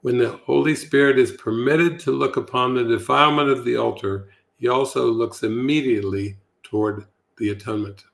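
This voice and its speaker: A man speaking as a spiritual teacher in a devotional setting, with a measured and earnest delivery. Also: mid-range voice, steady tone, creaky, fairly bright tone. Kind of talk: devotional reading and informal spiritual talk.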